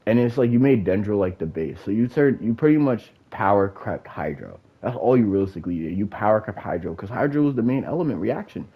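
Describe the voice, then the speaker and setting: Nasal low-voiced man ranting. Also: lively intonation, fairly quick, few pauses, slightly rough, phone-quality sound. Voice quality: nasal, congested. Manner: profane, rambling, with many fillers. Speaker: gamer giving opinions. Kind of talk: casual rant.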